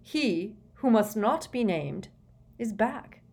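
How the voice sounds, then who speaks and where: A woman's voice: mid-range voice, lively intonation, slow, creaky, a little mellow, slightly rough, voice pushed up, exaggerated voice. A woman voicing a flustered, blustering older male wizard minister in a storybook reading, fretful and emphatic. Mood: grave, urgent.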